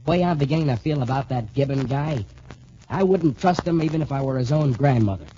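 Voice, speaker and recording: Actor as a mellow, slangy young radio sidekick. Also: male voice, mid-range voice, lively intonation, fairly quick, natural voice. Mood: wary. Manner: slangy, exclamatory. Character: skeptical, loyal young male student sidekick. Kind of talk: 1940s radio adventure serial acting. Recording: muffled, noisy 1940s radio recording.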